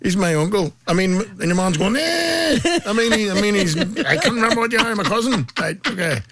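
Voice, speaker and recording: Middle-aged male co-host, Belfast accent, comic storyteller, highish voice with very lively swings. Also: brisk. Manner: jokey, animated storyteller. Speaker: talk show co-host. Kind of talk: casual comic banter, anecdotes and listener comments.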